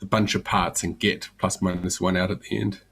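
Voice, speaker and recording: A man's voice: low-pitched, flat delivery, moderate pace, creaky, fairly bright tone, slightly rough. A man asking detailed technical questions as a panelist in a discussion.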